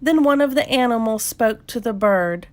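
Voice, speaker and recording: A woman reading a children's story aloud as a narrator, in a measured, expressive storytelling manner. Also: on the high side.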